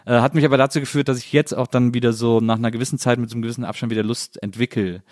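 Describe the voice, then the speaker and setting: A man with a mid-pitched voice hosts a relaxed, conversational interview. He speaks reflectively, feeling his way through each sentence, in a dry recording with no room sound. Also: very fast, no echo. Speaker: podcast host. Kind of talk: casual conversational interview talk.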